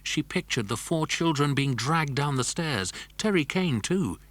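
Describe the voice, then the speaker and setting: A man's voice: fairly high pitch, animated, measured pace, a bit bright, no room sound. A man narrating a scripted children's adventure story. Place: dry studio.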